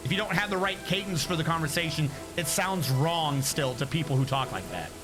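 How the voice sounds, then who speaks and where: A young man with a high voice rambles chattily to his stream chat, stopping often to correct himself. Music plays clearly behind him as he talks. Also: lively intonation, a little fast, low-quality recording. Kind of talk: casual livestream chat.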